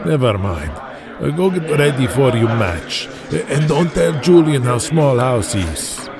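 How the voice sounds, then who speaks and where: An actor playing a weary wrestling booker who speaks halting, broken English in an audio drama. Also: male voice, mid-range voice, big pitch swings, a loud crowd. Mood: evasive. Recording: music playing behind the voice.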